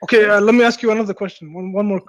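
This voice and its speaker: A man's voice: high-pitched, steady tone, racing, no room sound, dull audio. A man calling in to a debate show, persistent and pushing for one more question. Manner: persistent, hesitant fillers. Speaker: caller.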